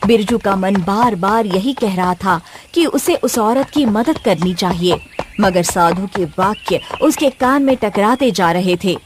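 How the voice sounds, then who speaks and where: A woman's voice: medium pitch, expressive, a little slow, slight background noise. A woman reading scripted narration as the storyteller of a tale.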